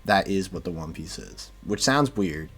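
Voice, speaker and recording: A man's voice: low-pitched, lively intonation, normal speed, fairly bright tone, husky, faint music behind. A man in a casual podcast chat, explaining things hesitantly with plenty of fillers.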